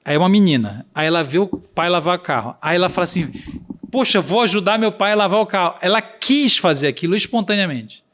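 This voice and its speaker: A man's voice: high voice, lively intonation, a little fast, fairly bright tone. A man with a regional accent, a podcast guest explaining earnestly and acting out quoted dialogue. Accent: Brazilian Portuguese regional accent.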